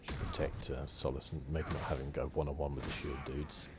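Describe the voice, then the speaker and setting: A soft-spoken man giving relaxed, mildly chatty ASMR commentary as he plays a video game. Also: very deep voice, a little fast, very noisy. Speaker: gaming channel host.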